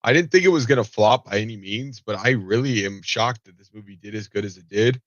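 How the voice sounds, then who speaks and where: A man talks in a low voice, stuffed up and a bit nasal from allergies, tossing in ums, restarts and the odd curse as he reacts on camera. The sound is dry and close, with a dull, lo-fi home microphone quality. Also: brisk, fairly bright tone, dry recording. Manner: rambling with many ums and restarts, swears casually. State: nasal from allergies, slight cough. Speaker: commentary vlogger. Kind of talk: casual reaction commentary.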